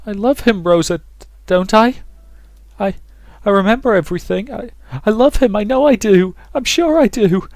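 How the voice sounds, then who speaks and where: Man voicing a distraught, high-pitched young woman. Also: gravelly, a little mellow, dry recording. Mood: anguished, desperate. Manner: halting, repeating words. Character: distraught young woman, fragile, tormented, near madness.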